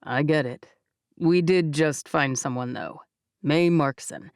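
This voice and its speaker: A woman's voice: takes it slowly, no room sound, studio quality. A woman voicing a young man who answers in short, guarded lines. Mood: curt. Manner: clipped, flat, lower.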